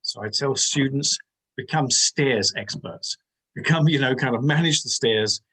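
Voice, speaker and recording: A man's voice: medium pitch, a little slow, sharp tone, husky, low-quality recording. A man speaking as a panelist, hedging often and chuckling between points. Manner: hedging, lots of "you know", chuckling. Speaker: panelist professor. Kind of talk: panel discussion.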